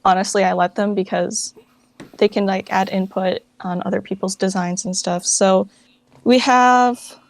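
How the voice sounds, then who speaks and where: A woman's voice: mid-range voice, fairly even intonation, unhurried, vocal fry, no room sound. A woman chatting casually as an interview guest, with informal, hesitant phrasing and plenty of "like". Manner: informal, hesitant, filler-heavy phrasing with frequent "like". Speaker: interview guest, founder of a fan dub project.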